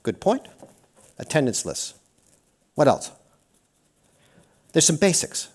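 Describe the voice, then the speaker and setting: A Canadian man giving an energetic, interactive seminar talk, often putting questions to the audience. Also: fairly high pitch, takes it slowly. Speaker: workshop speaker. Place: lecture hall.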